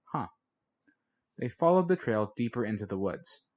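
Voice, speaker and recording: A man's voice: fairly low pitch, big pitch swings, brisk, a little mellow. Male audiobook narrator, scripted fiction.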